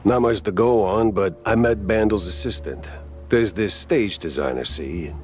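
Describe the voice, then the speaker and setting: An actor playing a wry, world-weary mouse private detective in a noir video game, with deadpan hard-boiled delivery. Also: male voice, lowish voice, measured pace, soft background music, natural voice. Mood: dry, wry. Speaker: detective narrator and lead character. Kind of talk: film noir game dialogue and narration.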